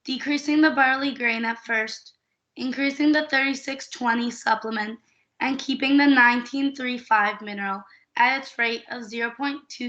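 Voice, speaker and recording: A young woman from Saskatchewan, a student nutrition coordinator, reading a prepared class presentation steadily and carefully. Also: fairly high pitch. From Saskatchewan, Canada. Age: young adult. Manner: steady, reads figures carefully. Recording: dull lo-fi recording.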